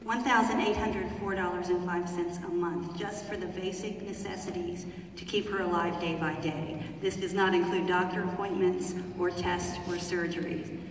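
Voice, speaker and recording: A woman's voice: fairly low pitch, fairly even intonation, normal speed, a little hiss or hum. A woman at a town hall reading out a written testimonial, carefully and with small fillers. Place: large theater hall. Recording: echoey hall from far off.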